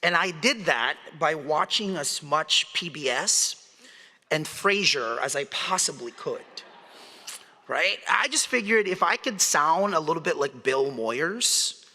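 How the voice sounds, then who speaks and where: Lecture speaker, man, fairly high and bright voice, chatty, asks the crowd questions, hall reverb. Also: animated, takes it slowly. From Philippines. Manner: conversational, asks the audience questions, chuckles often. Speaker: guest speaker. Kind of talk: public lecture. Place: large hall.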